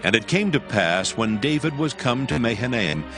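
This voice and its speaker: A man narrating scripture as scripted storytelling, in a measured and solemn manner. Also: mid-range voice, big pitch swings, music in the background.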